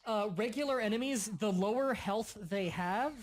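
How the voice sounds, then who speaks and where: A young man hosting a casual gaming livestream, explaining game mechanics in quick bursts and sometimes stuttering. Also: high-pitched. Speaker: livestream host. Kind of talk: casual gaming stream chat.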